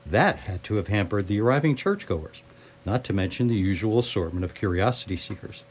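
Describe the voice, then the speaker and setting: A man's voice: on the low side, expressive, brisk, darkish tone, a little hiss or hum. A man narrating a radio play in a theatrical, wry storytelling manner.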